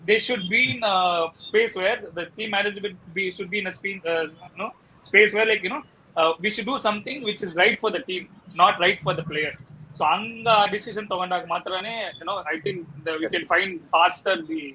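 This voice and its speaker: A man with a Kannada Indian accent giving his take in a casual cricket chat, often pausing on filler phrases. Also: high-pitched, bright, forceful tone, slight background noise, rough recording. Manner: hesitant phrasing with frequent "you know". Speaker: cricket panelist. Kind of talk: casual sports discussion.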